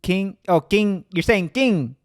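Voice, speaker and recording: Chicago man in his thirties hosting a chat show, slightly high voice, animated and quick to laugh, dry close mic. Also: dry recording. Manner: animated, laughs often, trails into restarts. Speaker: podcast host. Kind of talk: casual conversation.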